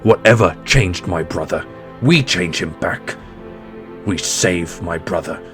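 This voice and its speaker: A man playing a solemn, loyal prince and protective brother in a fantasy audio roleplay, speaking earnestly and deliberately. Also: deep voice. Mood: defiant, rousing. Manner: earnest, deliberate, grandly heroic. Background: orchestral music.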